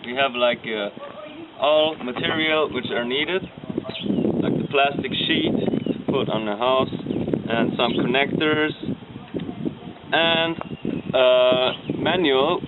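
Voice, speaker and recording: Slow-talking German man explaining. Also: mid-range voice. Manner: plain explanatory, halting with fillers. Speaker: design team member. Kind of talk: demonstrating a model. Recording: tinny lo-fi with faint noise.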